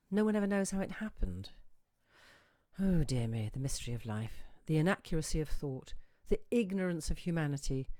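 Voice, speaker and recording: A woman reading a short story aloud as an expressive, musing narrator with dramatic emphasis. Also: low voice, no echo, clean studio sound. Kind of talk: expressive literary audiobook reading.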